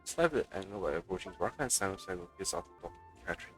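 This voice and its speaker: A man chatting casually during a live let's play, muttering to himself in halting bursts. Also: sits low, lively intonation, soft background music. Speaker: game streamer.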